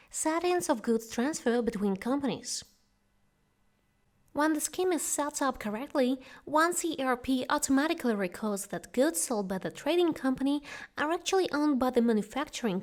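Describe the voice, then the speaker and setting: A woman's voice: high voice, a little mellow. A woman instructor reading a scripted tutorial narration, giving step-by-step directions. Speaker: software course instructor.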